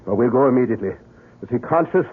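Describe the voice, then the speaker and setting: A man's voice: medium pitch, lively intonation, racing, husky. An actor, a man, playing a grave older doctor and father in an old-time radio drama, reflective and emotive. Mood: alarmed. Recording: tinny, narrow-band old radio recording.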